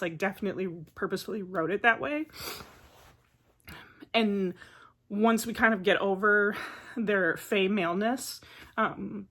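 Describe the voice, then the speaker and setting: A woman vlogger who is getting over a cold talks through her thoughts on books in a loose, rambling way, dropping filler words as she goes. Her voice sits at an ordinary middle pitch. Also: fairly bright tone. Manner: chatty, rambling, many filler "like"s. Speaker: book vlogger. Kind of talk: casual book review vlog.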